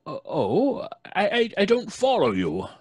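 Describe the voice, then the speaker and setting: A man's voice: high voice, wildly swooping pitch, measured pace, darkish tone, husky, no echo, voice pushed up, full character voice. A man playing a polite, nervous actor trapped and growing afraid, stammering in old-time radio suspense style. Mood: uneasy, polite.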